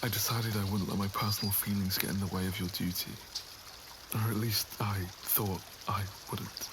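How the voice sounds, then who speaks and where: A man's voice: fairly low pitch, flat delivery, normal speed, natural voice. A man playing an earnest, lovesick nobleman in an ASMR roleplay, with formal, wounded phrasing. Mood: tender confession. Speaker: voice actor in an audio roleplay. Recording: audible water and outdoor ambience noise.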